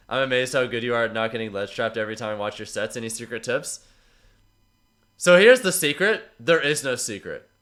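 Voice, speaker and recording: A man with a stuffy, congested voice from a cold, chatting casually with his stream viewers and reading out their messages in a relaxed, rambling way. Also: a little high. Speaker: streamer analyzing tournament matches.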